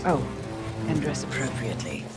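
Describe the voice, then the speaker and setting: An actress playing a poised, coolly superior female intelligence handler in a video game, measured, elegant and dryly ironic. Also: rumbling low, sing-song. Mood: composed, commanding. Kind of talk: cinematic video game acting. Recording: music underneath.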